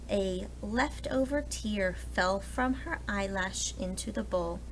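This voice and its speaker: A woman's voice: mid-range voice, vocal fry, a little hiss or hum, low-quality recording. A woman reading a children's storybook aloud expressively, like a preschool teacher addressing young listeners. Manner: explains words and asks listeners questions.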